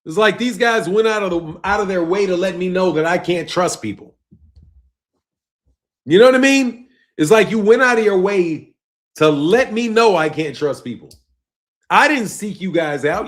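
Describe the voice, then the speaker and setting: Man, late fifties, high voice, loose emphatic live-stream rant, trailing low phrase ends. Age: 50s. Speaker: boxing channel host. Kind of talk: casual live-stream rant reading chat.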